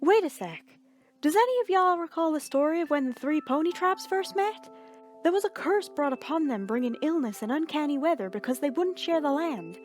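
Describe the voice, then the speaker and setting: A woman's voice: high voice, expressive, fairly quick, soft background music, voice pushed up. A woman voicing an earnest, folksy country mare pony in a fan fiction reading. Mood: earnest, questioning. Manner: folksy southern phrasing.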